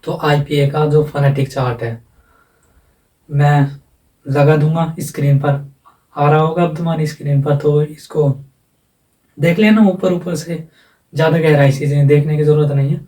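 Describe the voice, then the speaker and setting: Mellow-voiced man teaching, mic a bit distant. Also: a little high, fairly even intonation, moderate pace, long pauses. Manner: plain, repetitive explaining with rhetorical questions. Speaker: English teacher. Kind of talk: casual explanatory lecture. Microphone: voice-over, face not shown.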